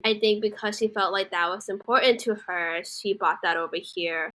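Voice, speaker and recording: A woman with a bright, forward voice at a middle pitch, co-hosting a podcast. She keeps an easy, friendly, conversational tone. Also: moderate pace. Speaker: podcast co-host. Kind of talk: casual chat.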